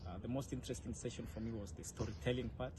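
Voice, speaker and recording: A young Kenyan man giving earnest interview answers, with frequent hesitant pauses. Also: medium pitch, steady tone, dark tone. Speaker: interviewee, leadership fellow. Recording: clear ambient background noise.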